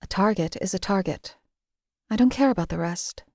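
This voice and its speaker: A woman voicing a cold-blooded female assassin companion in a game, flat, clipped and detached. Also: medium pitch, moderate pace, no echo, clean studio sound, lightly acted.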